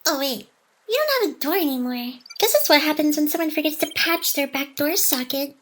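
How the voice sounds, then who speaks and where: A woman's voice: high voice, lively intonation, fairly quick, a bit bright. A woman playing a playful AI hacker girl in an intimate ASMR roleplay, teasing and mock-aloof while secretly smitten and protective of the listener. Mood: dry, smug teasing. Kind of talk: ASMR roleplay, intimate one-sided scripted drama.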